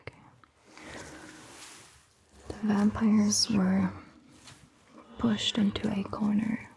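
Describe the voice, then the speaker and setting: A woman playing a calm, attentive vampire doctor in a soft, breathy ASMR roleplay whisper, with measured, gentle phrasing. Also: mid-range voice, slight background noise, low-quality recording. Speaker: ASMR performer.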